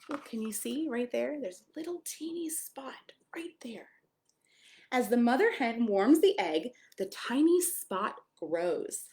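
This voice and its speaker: Female pastor for children's storytime: lively, widely swinging intonation, expressive reading, speaks directly to young listeners. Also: a little high. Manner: expressive, addresses young children with questions and exclamations. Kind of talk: children's picture-book read-aloud with friendly asides.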